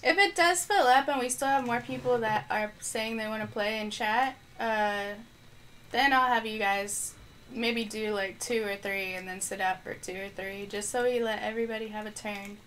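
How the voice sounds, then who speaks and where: A woman's voice: a little high, normal speed, fairly bright tone, a little hiss or hum, poor audio. A woman streaming a video game live, chatting casually as she thinks aloud and responds to viewers, with her microphone placed on the floor. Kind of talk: live gameplay stream commentary.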